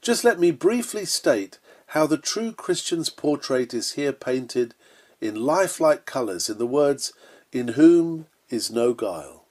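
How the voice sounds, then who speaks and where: Man, medium pitch, solemn sermon reading, emphatic, earnest preacher's delivery. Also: sing-song, unhurried, a little mellow. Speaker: sermon reader.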